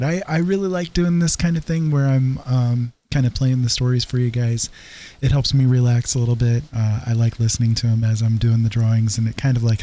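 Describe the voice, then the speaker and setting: A man hosting a livestream, chatting casually in a halting, low-key, easygoing way. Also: dark tone. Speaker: livestream host. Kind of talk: casual rambling livestream chat.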